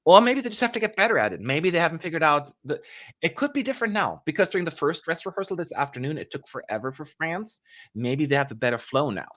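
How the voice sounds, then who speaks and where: A man's voice: fairly high pitch, big pitch swings, fast, fairly bright tone. A young man hosting a live stream, chatting casually with playful asides and jokes. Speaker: livestream host.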